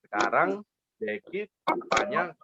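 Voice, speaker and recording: A man's voice: high voice, sing-song, takes it slowly, gravelly, noisy background. A man telling an interactive children's story, playfully coaxing and talking straight to little kids. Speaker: volunteer parent storyteller for kindergarteners.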